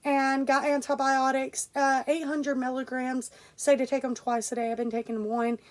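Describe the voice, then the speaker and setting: High-voiced, stuffy-nosed woman vlogging. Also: background noise. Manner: rambling, many fillers and restarts. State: congested, stuffy nose. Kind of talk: casual unboxing vlog.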